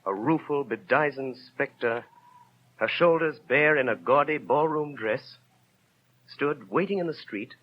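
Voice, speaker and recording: Grave dramatic storyteller actor, muffled vintage radio. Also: male voice, medium pitch, close to an everyday voice. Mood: grave, measured. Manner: measured, dramatic. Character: grave, literary male storyteller. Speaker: narrator and actor. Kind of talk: 1930s radio drama narration. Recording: muffled, hissy 1930s radio broadcast.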